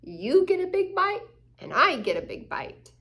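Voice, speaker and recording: A woman's voice: very high voice, very animated, measured pace, sharp tone. A woman hosting a children's story time, reading a picture book aloud and explaining it with questions to the young listeners.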